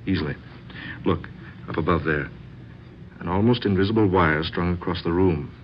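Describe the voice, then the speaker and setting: Low-voiced, scornful husband played by an actor. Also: male voice, natural voice. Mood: smug, explaining. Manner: formal, deliberate, scornful. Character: sceptical, aggrieved husband, stiff and coldly insistent. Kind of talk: old-time radio drama acting. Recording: muffled, faintly noisy old radio recording.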